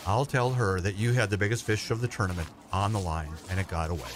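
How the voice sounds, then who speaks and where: An actor playing a gentle, consoling fishing companion in a radio play, with a reassuring manner. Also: male voice, lowish voice, fast talker, a little hiss or hum, lightly acted. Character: gentle, consoling fishing companion man comforting disappointed pal.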